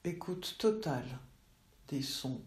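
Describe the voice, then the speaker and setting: A woman teaching yoga nidra, guiding relaxation in measured instructional phrases with long pauses. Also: low-pitched, lively intonation, darkish tone. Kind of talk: slow guided relaxation meditation. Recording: roomy, echoey voice recording.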